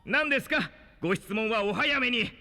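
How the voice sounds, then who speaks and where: A very high, piercingly bright exam official in an animated radio play. The delivery is theatrical and excitable, swooping up and down as if proclaiming rules, in a long hall reverb. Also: male voice, normal speed, soft background music, full character voice. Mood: grandly announcing. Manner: theatrical, exaggerated, announcing. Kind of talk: animated voice drama acting.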